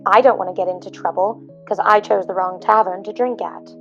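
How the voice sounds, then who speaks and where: A woman's voice: medium pitch, steady tone, brisk, dull audio, faint music behind, lightly acted. An actress playing a guarded, self-interested woman with a blunt, dry manner in an audio drama. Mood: blunt, defensive. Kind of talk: narrative podcast acting.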